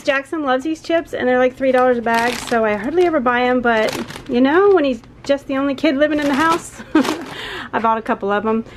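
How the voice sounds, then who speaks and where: A woman's voice: on the high side, background noise. A woman in her forties with a Southern American accent, chatting casually to the camera from her kitchen, rambling with frequent little laughs. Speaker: home vlogger. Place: home kitchen and backyard. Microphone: handheld camera close up.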